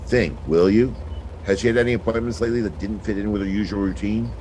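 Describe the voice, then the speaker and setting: An actor playing an impatient, sardonic private detective in a brusque, slangy 1940s radio drama style. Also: male voice, lowish voice, fast talker, darkish tone, slight background noise, natural voice. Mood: brusque, commanding.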